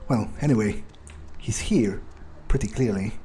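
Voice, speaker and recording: A man playing a wary, ruthless vampire on the hunt, thinking aloud in halting, hesitant phrases over a video game. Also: on the low side, heavy background noise, close to an everyday voice. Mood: wary, searching. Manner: musing, hesitant, halting self-corrections. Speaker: let's player role-playing a game character. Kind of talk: in-character improvised gameplay narration, thinking aloud.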